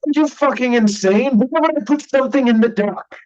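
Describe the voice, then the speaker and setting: A man playing a troubled young man struggling with alcohol, halting and raw, in a staged play reading. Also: very high voice, darkish tone, lo-fi sound. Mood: angry, pleading.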